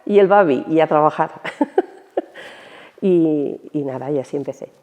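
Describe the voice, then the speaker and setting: A woman's voice: low-pitched, unhurried, long reverb tail. A woman in her sixties from Albacete, telling of her years as a shoe factory worker in a documentary interview. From Albacete, Spain. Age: 60s. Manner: relaxed storytelling with light laughs.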